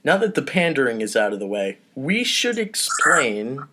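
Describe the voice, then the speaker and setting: A man's voice: mid-range voice, sing-song, moderate pace, noisy background. A man hosting a comedy podcast, casually reading out stage directions and steering a table read.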